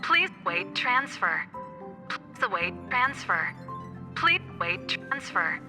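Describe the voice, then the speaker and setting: A woman's voice: mid-range voice, very animated, takes it slowly. A woman voicing a polite automated spaceport announcement system in a video game, formal and detached. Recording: game music behind the voice.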